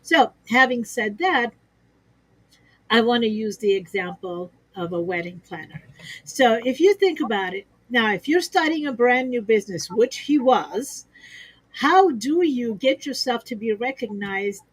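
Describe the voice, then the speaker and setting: Bright-voiced woman explaining business partnerships. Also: on the high side, animated, measured pace, slightly rough, no echo, poor audio. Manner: upbeat, explains in systems and examples, laughs easily. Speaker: expert guest on a business livestream. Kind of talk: conversational business talk.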